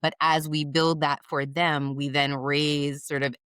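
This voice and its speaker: A woman's voice: deep voice, level tone, measured pace, a bit bright, clear, resonant tone, no echo, studio quality. A woman hosting an interview podcast, affirming and curious as she asks her guest questions. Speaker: podcast host. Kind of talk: interview host with a read ad.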